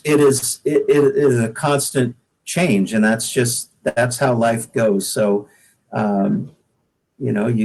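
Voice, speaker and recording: A man's voice: medium pitch, a little mellow, poor audio. An American man from the Pacific Northwest, a guest singer-songwriter, chatting casually in a folksy, rambling way and repeating words as he goes. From United States, Pacific Northwest. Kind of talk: casual podcast chat.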